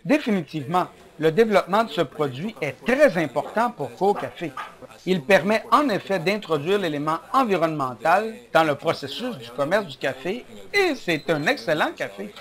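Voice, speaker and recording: Man reading a steady voice-over. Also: high voice, normal speed. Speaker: voice-over translator. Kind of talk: read voice-over.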